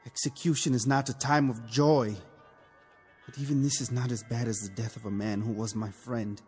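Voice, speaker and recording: An actor playing a conscience-stricken revolutionary officer, sardonic and brooding, in a mid-century television drama. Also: male voice, mid-range voice, fairly even intonation, normal speed, a little mellow, breathy edge, natural voice. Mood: grieving, indignant. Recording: old TV soundtrack, reverberant, music behind.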